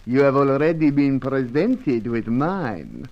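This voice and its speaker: Formal manservant, dull recording. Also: male voice, mid-range voice, expressive, slow pace. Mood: cold, suspicious. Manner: formal, stilted, deferential phrasing. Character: devoted, menacingly courteous Brazilian manservant, knife-wielding and proud. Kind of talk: old-time radio adventure acting. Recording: dull, muffled old radio recording.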